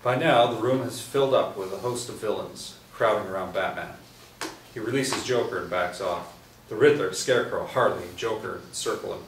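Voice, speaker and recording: Low voiced guy reading out stage directions, echoey room, kinda far off, faint hiss. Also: moderate pace. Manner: steady, with small restarts. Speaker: script narrator.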